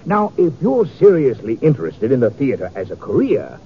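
An actor playing a courtly, admiring theatrical impresario, formal and emphatic, in 1950s radio drama style. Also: male voice, mid-range voice, wildly swooping pitch, normal speed. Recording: dull, faintly noisy old radio recording.

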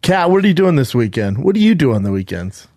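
A man's voice: on the high side, sing-song, fast, a little mellow, no room sound. Blunt, teasing male podcast co-host. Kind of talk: casual chat.

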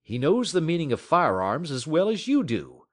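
A man's voice: on the high side, huge pitch swings, moderate pace, pitched above the performer's own voice. A man voicing an earnest dog owner who talks urgently and persuasively. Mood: pleading.

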